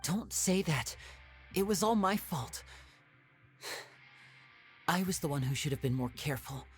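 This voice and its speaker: A performer playing an earnest, guilt-ridden young man in a video game, soft-spoken and guarded. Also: mid-range voice, lively intonation, slow, faint music behind. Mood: self-blaming. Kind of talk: scripted video game voice acting.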